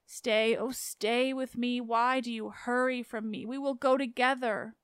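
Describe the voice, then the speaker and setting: A woman's voice: on the high side, fairly even intonation, measured pace, clear, resonant tone, no echo, clean studio sound, natural voice. A woman voicing a grieving young wife who speaks in a raised, lamenting voice. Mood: pleading.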